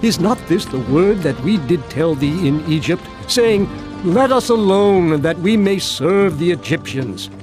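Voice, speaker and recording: A man's voice: high-pitched, expressive, a little slow. A man playing a bitter, frightened Israelite complainer, agitated and accusing, in a biblical audio drama. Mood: bitter, afraid. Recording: music behind the voice.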